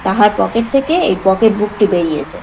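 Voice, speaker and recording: A woman's voice: medium pitch, lively intonation, a little fast, creaky, warm tone, slight background noise, low-quality recording. A woman voicing a brusque male police inspector who talks in a blunt, businesslike way in an audio story.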